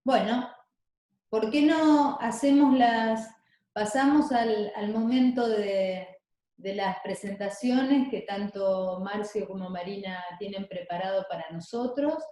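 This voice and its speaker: A woman moderating a video meeting, relaxed and reassuring, with hesitant restarts. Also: medium pitch, takes it slowly. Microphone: video call. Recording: very echoey room on a call.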